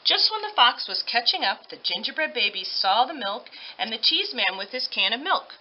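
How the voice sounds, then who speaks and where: A woman narrates a children's storybook. Her voice sits a little high and rises and falls expressively. The sound is somewhat dull and lo-fi. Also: big pitch swings, normal speed, slight background noise. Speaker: storybook reader. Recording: dull lo-fi audio.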